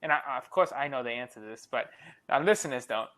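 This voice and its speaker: A young man co-hosting a podcast, earnest and reflective, speaking in quick run-on sentences. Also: mid-range voice, lively intonation, bright, forceful tone. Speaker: podcast co-host. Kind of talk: casual podcast conversation.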